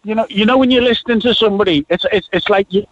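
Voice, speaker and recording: A man rambles cheerfully on a radio call, his voice sitting high for a man. The line sounds dull and dry, without any room echo. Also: racing. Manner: rambling, chatty, full of "you know" and "right". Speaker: caller. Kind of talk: phone-in call.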